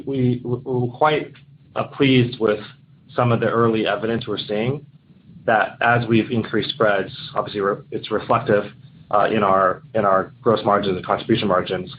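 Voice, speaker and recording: A man speaking as a company executive on an earnings call, halting and repeating his words as he answers. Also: mid-range voice, fairly even intonation. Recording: muffled conference line, faint noise.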